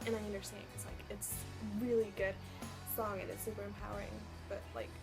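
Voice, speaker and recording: A woman's voice: medium pitch, rough recording. Young woman, from Puerto Rico, awkward, umming, casual vlog, music behind. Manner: awkward, hesitant, lots of ums and likes. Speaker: vlogger.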